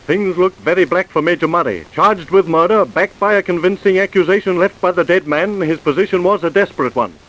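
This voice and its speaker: A man's voice: high voice, fairly quick, few pauses, a bit bright, highs cut off. A man narrating an old-time radio drama from a script.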